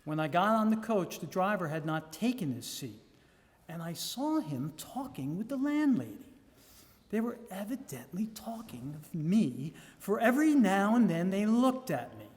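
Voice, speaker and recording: A man reads a gothic journal aloud in a theatrical, measured way with dramatic pauses. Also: high-pitched, very animated. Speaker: reader. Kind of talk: dramatic live reading of a gothic novel journal. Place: live public reading in a reverberant room. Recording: echoey hall with long reverb.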